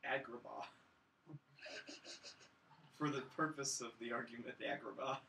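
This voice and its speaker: A man's voice: mid-range voice, fairly even intonation, a little slow, creaky, pushed and bright. A young man co-hosting a casual chat, tossing in wry quips. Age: young adult. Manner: wry, quipping. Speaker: podcast co-host, film student. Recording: slightly distant mic, faint noise.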